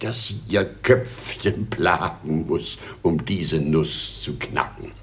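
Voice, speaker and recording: A man's voice: on the low side, fairly bright tone, heavily acted. An actor playing a pompous, exasperated emperor and father, blustering and muttering in a theatrical radio play. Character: gruff comic Emperor of China, exasperated father.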